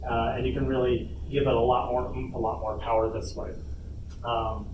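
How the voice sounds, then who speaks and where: A man giving an informal instructional panel talk, speaking casually and haltingly with playful asides. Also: mid-range voice, little pitch movement, lo-fi sound. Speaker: workshop presenter on a convention panel. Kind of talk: informal instructional presentation to an audience. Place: convention panel room. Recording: noisy, echoey room, distant mic.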